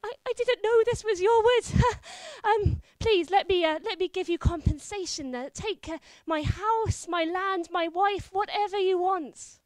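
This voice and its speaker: A woman's voice: sits high, moderate pace, hardly any pauses, no echo, close to an everyday voice. A woman playing a stammering cowardly knight. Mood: panicked. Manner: blustering, stammering. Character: boastful cowardly knight, nervous little man.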